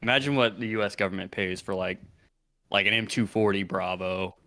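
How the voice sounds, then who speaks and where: A man's voice: deep voice, steady tone, a little fast, pushed and bright, no room sound, clean studio sound. A man co-hosting a casual podcast chat, easygoing and curious. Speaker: podcast co-host.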